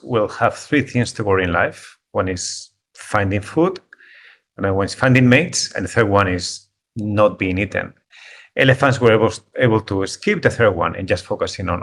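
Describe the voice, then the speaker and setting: A middle-aged man with a Spanish accent gives a talk over a video call, in a slightly low voice. He speaks in a halting way, repeating words while he searches for his phrasing. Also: gravelly, fairly bright tone. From Spain. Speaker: guest speaker, conservation biologist. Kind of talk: online talk.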